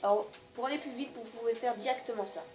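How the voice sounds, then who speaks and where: A woman's voice: a little high, fast, a little mellow, reverberant, faint music behind. A woman with a cold, giving hesitant step-by-step building instructions over gameplay. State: stuffy with a cold. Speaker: gaming video creator.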